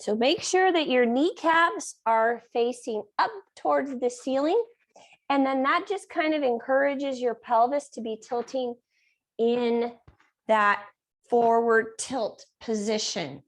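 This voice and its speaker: Yoga teacher lady, kinda high voice, losing her voice a bit, clearing her throat while she walks you through poses. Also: takes it slowly. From Reno area, United States. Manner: gentle step-by-step cueing, clears her throat often. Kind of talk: guided yoga class instruction.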